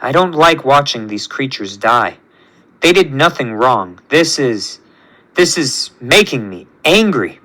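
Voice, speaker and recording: A man voicing a solemn, righteous superhuman who defies his creator, speaking in a measured, earnest way in a superhero story. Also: a little high, expressive, sharp tone, rough recording. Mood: troubled. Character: solemn, righteous young male superhuman rebelling against creator.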